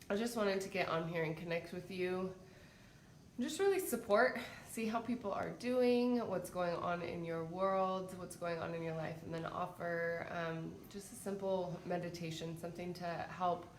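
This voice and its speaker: A woman's voice: fairly low pitch, echoey room, a little hiss or hum. Stuffy-voiced woman with a head cold. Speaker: psychic and meditation host. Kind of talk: casual livestream talk.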